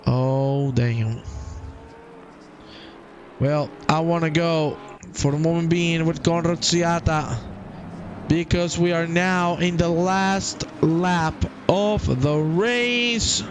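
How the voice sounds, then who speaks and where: Slow, drawn-out male race commentator. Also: slight background noise. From half Croatian. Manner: enthusiastic, jokey, swells loud and high at the finish. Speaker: sim racing commentator.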